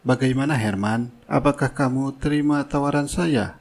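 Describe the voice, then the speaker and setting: A rich, generous company boss in a cartoon drama, acted by a man. He talks in a formal, composed way, assured and fatherly, with quiet authority. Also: mid-range voice, normal speed, close to an everyday voice.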